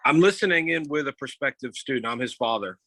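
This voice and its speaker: Bright, raspy middle-aged man. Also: mid-range voice, expressive, fairly quick. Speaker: parent of a prospective student. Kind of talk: casual chat.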